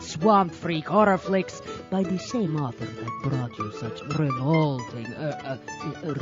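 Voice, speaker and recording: A woman hosting and narrating a horror show in a campy, melodramatic, scripted style. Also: deep voice. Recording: muffled lo-fi, loud music behind.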